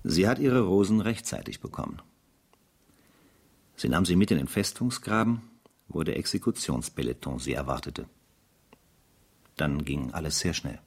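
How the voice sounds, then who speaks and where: An actor playing a sober, dutiful French army captain in a radio drama, speaking in a measured, grave and formal manner. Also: male voice, rumbling low, lively intonation, breathy edge, exaggerated voice. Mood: sombre, factual. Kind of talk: radio drama narration in diary form.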